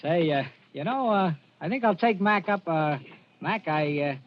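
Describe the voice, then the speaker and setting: An actor playing a desperate, touchy small-time American gangster in a 1940s radio drama, slangy and edgy. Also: male voice, fairly high pitch, lively intonation, moderate pace, lightly acted. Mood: hesitant, ingratiating. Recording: dull, noisy old radio recording.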